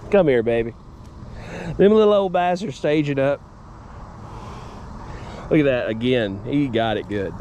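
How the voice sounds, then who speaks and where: A man's voice: mid-range voice, measured pace, long pauses, slight background noise. A young man with a drawl, talking through a casual fishing vlog in a folksy, rambling way. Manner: folksy, rambling, excitable when fish bite. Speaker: fishing vlogger. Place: outdoors by a pond, later indoors at home.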